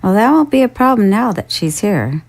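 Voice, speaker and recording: A woman's voice: medium pitch, big pitch swings, normal speed, background noise, lightly acted. A woman playing a prim, devoted old family nursemaid in an audio drama, soothing and dutiful. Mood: conspiratorial.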